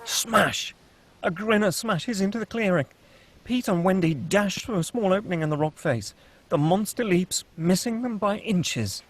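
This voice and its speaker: A man with a fairly high voice narrates a screenplay. He reads action lines and scene headings as scripted storytelling. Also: animated, measured pace. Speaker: stage-direction narrator.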